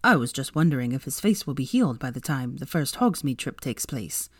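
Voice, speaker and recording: A dry, mischievous grown man speaking in a soft, wry tone, as a woman lowers her voice for him. The sound is close and dry. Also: big pitch swings, brisk, slightly rough, dry recording, heavily acted. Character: Draco, adult man teacher, dry and mischievous.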